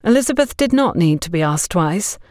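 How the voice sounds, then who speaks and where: A woman's voice: lowish voice, expressive, fairly quick, darkish tone, dry recording, studio quality. A woman reading a scripted story as an audiobook narrator.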